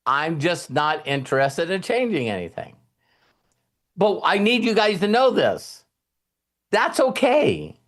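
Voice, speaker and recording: Higher-pitched man giving a casual motivational talk. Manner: informal and conversational, checks in with listeners.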